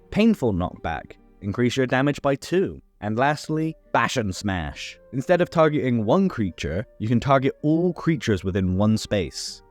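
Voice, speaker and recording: Guy doing a tabletop rules explainer, really animated delivery, bit of music behind him. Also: medium pitch. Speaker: host of a tabletop game tutorial series. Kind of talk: scripted explainer narration.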